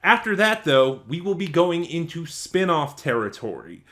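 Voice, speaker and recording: A man hosting a casual video review, talking to viewers in halting, conversational short bursts. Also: on the high side, big pitch swings, sharp tone. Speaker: video reviewer and channel host.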